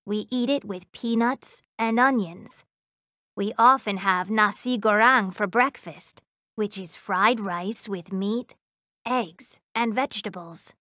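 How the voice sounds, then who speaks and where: A friendly young schoolboy, voiced by a woman, reads textbook sentences at medium pitch. His phrasing is simple and careful, and the sound is close and dry. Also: slow pace, bright, forceful tone, no echo. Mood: friendly, informative. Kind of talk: scripted language-textbook audio reading.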